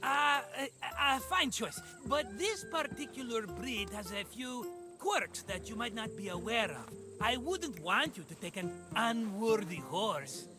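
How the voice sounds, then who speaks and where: Casting: glib wine-merchant schemer for a cinematic game, effusive, very high-pitched, highly animated delivery. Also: male voice, measured pace, music in the background. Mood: placating. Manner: wheedling, effusive, evasive salesman patter. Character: glib, cheerful scheming vineyard-owning merchant, male friend. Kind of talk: cinematic video game dialogue acting.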